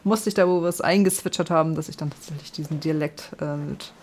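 A man's voice: fairly high pitch, steady tone, fairly quick. Hesitant northern German man chatting. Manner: hesitant, many fillers, laughs often. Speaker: podcast host. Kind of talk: casual chat.

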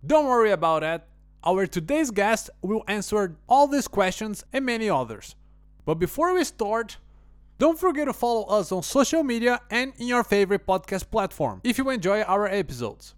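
A man's voice: sits high, dry recording. A man hosting a language podcast, reading a scripted intro and asking his guest questions. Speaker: podcast host. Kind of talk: podcast interview.

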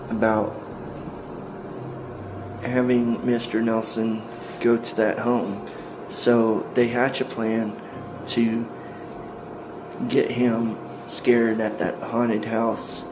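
Slow, flat-voiced male podcast host. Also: medium pitch. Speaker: podcast host introducing old-time radio shows. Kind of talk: spoken introduction to episodes. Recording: home recording with clear background noise.

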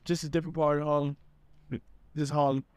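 A young man in a casual group chat, talking calmly and thoughtfully. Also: on the high side, brisk, clear, resonant tone, no echo.

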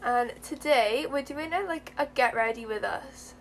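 A young woman with a slight lisp, co-hosting a casual podcast chat while getting ready to go out, chatty and quick to laugh. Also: high voice, slight background noise. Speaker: podcast co-host.

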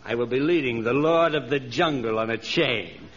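An actor playing a boastful, scheming villain who poses as an all-powerful magician in a pompous 1930s radio acting style. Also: male voice, mid-range voice, moderate pace, a bit bright, natural voice. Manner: pompous, theatrical, menacing. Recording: muffled, noisy 1930s radio recording.

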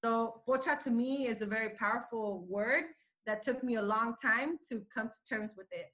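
A woman's voice: fairly high pitch, moderate pace, a bit bright, low-quality recording. A woman giving a candid personal account in English with Spanish mixed in, speaking emphatically. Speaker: guest sharing her view. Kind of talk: recorded personal testimony. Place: Tijuana.

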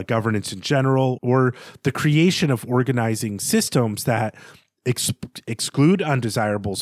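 A man's voice: mid-range voice, no room sound, studio quality. A man co-hosting a podcast, discussing literature in a conversational, analytical way with some hesitant phrasing. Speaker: podcast co-host.

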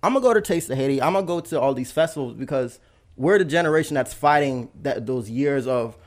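A man's voice: medium pitch, lively intonation, brisk. Man making a thoughtful point. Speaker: podcast co-host. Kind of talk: casual chat.

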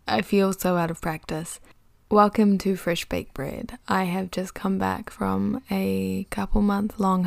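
A woman's voice: mid-range voice, vocal fry, a little mellow, no echo, clean studio sound. A young woman with a New Zealand accent hosting a podcast in a chatty, self-deprecating, confessional monologue. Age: young adult. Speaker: podcast host.

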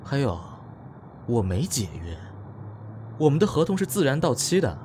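Man acting a sharp-tongued young star, very animated. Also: medium pitch, normal speed, a little hiss or hum, close to an everyday voice. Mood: cold, defiant. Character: sharp-tongued, proud young male entertainment star, sarcastic and unyielding. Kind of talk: Chinese audio drama acting.

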